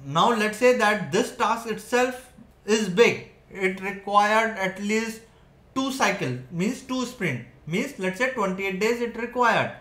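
A man in his thirties walks through a whiteboard lesson in a high voice. He keeps checking in with his listeners as he goes. The room around him rings with echo. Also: animated, slow, a bit bright. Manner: explanatory, frequent "right?" and "okay" checks. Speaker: software engineering teacher. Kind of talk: whiteboard tutorial. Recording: echoey room.

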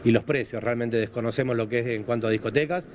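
A man in his forties with an Argentine accent, explaining things in a measured, formal way in a live news interview. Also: mid-range voice, steady tone. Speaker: event hall business representative, interviewee. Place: on location at an event hall. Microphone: handheld microphone, through a face mask. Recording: faint background noise and ambience.

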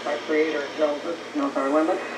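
Monotone preacher over a noisy radio. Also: male voice, a little high, brisk. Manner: earnest, repeats words when starting. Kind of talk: religious talk. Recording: radio broadcast through a loudspeaker.

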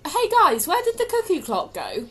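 A woman playing a brisk, no-nonsense shop assistant in a comedy radio play, sardonic and teasing. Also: very high-pitched, wildly swooping pitch, faint music behind, full character voice. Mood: dry. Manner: teasing, exasperated.

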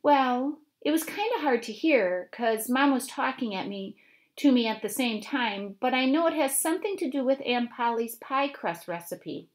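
A woman's voice: high voice, expressive, normal speed, voice pushed up. A woman reading aloud in the voice of an earnest young girl.

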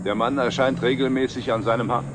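Actor as a matter-of-fact man amid loud noise. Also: mid-range voice, fairly even intonation, a little fast, lightly acted. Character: director's male companion reading the newspaper aloud, matter-of-fact. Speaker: actor in a television series. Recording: very noisy old soundtrack, car noise.

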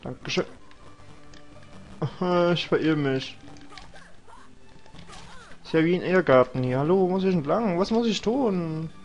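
A man's voice: on the high side, unhurried, pauses often, darkish tone, lo-fi sound, faint music behind. A young man with a cold, casually rambling and joking to himself while commentating a video game. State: has a cold. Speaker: let's play host.

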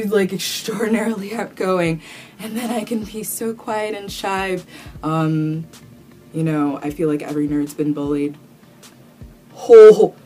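Rambling young woman vlogger, low voice. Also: dark tone. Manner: chatty and rambling, curses casually, many fillers and restarts. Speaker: cosplay vlogger answering viewer questions. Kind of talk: casual Q&A to camera. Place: small indoor room, plain wall.

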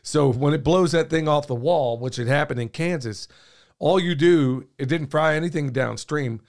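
Man, hoarse, folksy talk, podcast host, studio mic. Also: fairly high pitch, normal speed. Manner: folksy and talkative, says y'all, gestures a lot. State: hoarse, losing his voice. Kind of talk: casual podcast chat. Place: small podcast studio, indoors. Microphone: studio mic on boom arm, headphones on.

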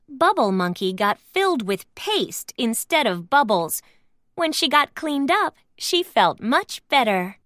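A woman narrates a children's storybook with careful expression. Her voice is high and moves up and down in lively swings. She takes the words slowly, in a dry, close recording. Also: no room sound. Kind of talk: scripted read-aloud narration for young children.

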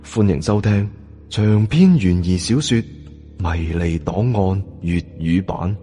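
A man's voice: deep voice, slow pace, mellow, soft background music. A man with a Hong Kong Cantonese accent narrating a suspense novel as a dramatic audiobook storyteller.

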